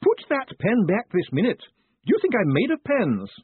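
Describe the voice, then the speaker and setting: A prim, bossy woman counter clerk barks orders at the public in a high, husky voice, as a man puts her on. Her pitch leaps and falls sharply. The sound is dry and tinny, like a phone line. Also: wildly swooping pitch, normal speed, dry recording, heavily acted. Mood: scolding. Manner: prim, complaining, commanding. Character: stern, fussy woman post office counter clerk.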